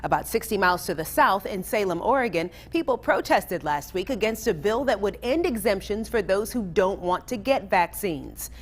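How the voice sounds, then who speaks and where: A woman's voice: medium pitch, animated, normal speed, few pauses. An American woman hosting a news show, reading scripted items as a presenter.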